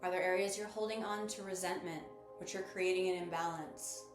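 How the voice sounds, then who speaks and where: A woman leading a guided meditation as host, speaking in a measured, instructive and gently encouraging manner. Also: fairly low pitch, steady tone. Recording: roomy echo with music behind. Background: music.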